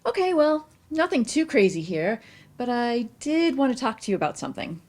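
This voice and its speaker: A hesitant, affectionate young woman confides in a best friend, played by a woman at a play reading. The voice is fairly high and a little raspy, with very animated intonation. The phrasing is conversational and halting. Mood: nervous, hesitant.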